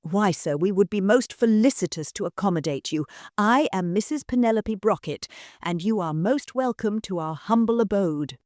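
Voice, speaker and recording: A woman's voice: medium pitch, animated, moderate pace, a little mellow, no room sound, clean studio sound, natural voice. A woman voicing a domineering, snobbish middle-aged mother who shrieks and speaks in a haughty, affected way. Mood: simpering.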